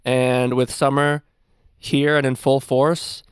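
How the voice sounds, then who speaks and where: A man's voice: mid-range voice, flat delivery, takes it slowly, smooth, dry recording, studio quality. A man hosting a podcast in casual, informal chat, with slangy and profane talk. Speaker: podcast host.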